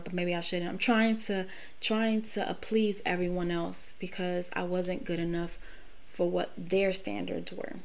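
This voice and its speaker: A woman in her late thirties, talking candidly and emphatically with plenty of profanity in a personal podcast monologue. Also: mid-range voice. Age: late 30s. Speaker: podcast host.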